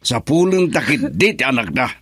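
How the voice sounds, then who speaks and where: A man playing a gruff, defensive father arguing with his wife in an Ilocano radio drama. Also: medium pitch, big pitch swings, normal speed, a bit bright, slight background noise. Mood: defensive, irritated.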